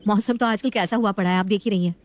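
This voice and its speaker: A woman's voice: mid-range voice, steady tone, quick pace, lightly acted. A woman voicing a dutiful, courteous young sister-in-law in a family story. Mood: polite.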